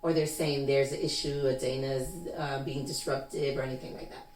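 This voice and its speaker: A woman interviewer with a notably low voice who guides the talk with gentle, probing questions. She affirms her guest often with quick yeses. She sounds set back from the mic in a roomy space. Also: flat delivery, far from the mic, slight background noise. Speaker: interview host. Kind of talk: conversational interview.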